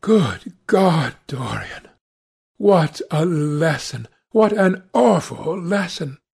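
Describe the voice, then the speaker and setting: An anguished, devout painter, acted by a male audiobook reader speaks in a raised, husky voice. He is agitated and imploring, moralising with theatrical horror. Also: on the high side, animated, drawn-out words, darkish tone, pitched above the performer's own voice, full character voice. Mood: anguished pleading. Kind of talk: dramatic audiobook character voice.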